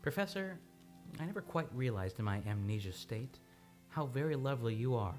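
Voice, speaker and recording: An actor playing an earnest, tender man haunted by returning memories, with halting, dramatic delivery in an old-time radio play. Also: medium pitch, expressive, a little mellow, close to an everyday voice. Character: haunted male amnesia patient recovering his memory, tender and earnest. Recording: studio radio play with music behind.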